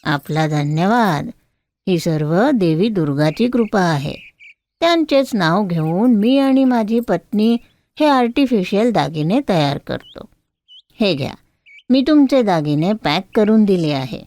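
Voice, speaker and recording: A woman voicing a humble, devout village bangle seller man in a storybook, slow and earnest. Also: medium pitch, animated, dark tone, no room sound, lightly acted. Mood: grateful. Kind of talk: storybook character voice.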